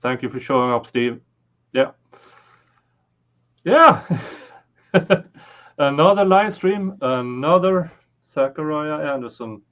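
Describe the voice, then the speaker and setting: A man's voice: a little high, animated, slow pace, pauses often, a bit bright. A Swedish man with a Swedish accent hosting a casual livestream chat, talking hesitantly with many fillers and restarts. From Sweden. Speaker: livestream host. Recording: slightly dull lo-fi audio.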